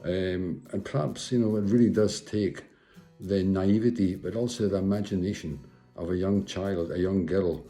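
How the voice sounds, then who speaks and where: A man with a deep, very mellow, dark voice gives considered interview remarks. He is hesitant and often repeats words. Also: fairly even intonation, normal speed, soft background music.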